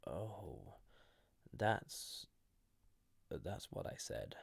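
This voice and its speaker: Male actor as banished ancient entity for intimate audio roleplay: very gravelly, unhurried, hesitant, flirty with a dangerous edge. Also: sits low, big pitch swings, dry recording, exaggerated voice. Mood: unsettled, probing. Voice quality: gravelly, husky, breathy. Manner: hesitant, softly intimate, deliberate. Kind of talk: scripted audio roleplay addressed to listener.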